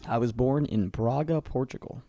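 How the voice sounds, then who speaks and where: A man's voice: mid-range voice, normal speed, mellow, dry recording, clean studio sound. A man hosting a casual football podcast over a headset, chatty and swearing freely. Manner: swears often, loose and chatty. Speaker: podcast host. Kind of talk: casual chat.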